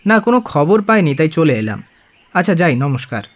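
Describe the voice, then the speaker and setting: Radio drama visitor: modern young gentleman, courteous, hesitant, higher pitch, animated intonation. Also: moderate pace. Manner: polite, hesitant. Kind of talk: audio drama acting.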